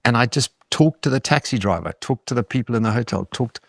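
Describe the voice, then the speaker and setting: A man's voice: medium pitch, animated, fast, dry recording, clean studio sound. A South African man in his sixties, a guest telling stories bluntly in a casual interview. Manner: blunt, expansive storyteller, swears freely.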